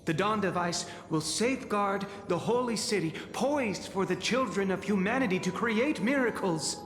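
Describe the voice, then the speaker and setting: A solemn fantasy game chaplain, grand speechy delivery, super echoey hall w music behind. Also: male voice, sits high, animated, a bit bright. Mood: solemn proclaiming. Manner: grand, measured oratory. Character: newly appointed chaplain, solemn male cleric addressing citizens. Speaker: game voice actor. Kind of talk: ceremonial proclamation in a fantasy game.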